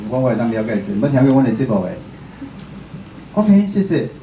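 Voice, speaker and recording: A man's voice: mid-range voice, sing-song, fast talker. Southern Taiwanese man in noisy, echoey room. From Chiayi, southern Taiwan. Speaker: guest speaker, radio station head and programme host. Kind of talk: informal public talk. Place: indoor room before a live audience. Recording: echoey room with clear background noise.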